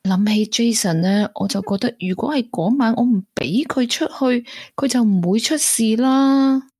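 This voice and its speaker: Actress as a husky, troubled young Hong Kong woman. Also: a little high, normal speed, warm tone, no echo, studio quality. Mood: pained, torn. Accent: Hong Kong Cantonese. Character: troubled, grieving young woman, gentle and guilt-ridden. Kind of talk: Cantonese radio drama acting.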